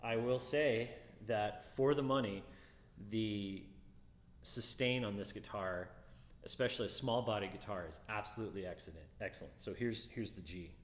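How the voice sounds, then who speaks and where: A man with a deeper voice giving a casual guitar review, musing and repeating words as he talks. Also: normal speed, reverberant, long reverb tail.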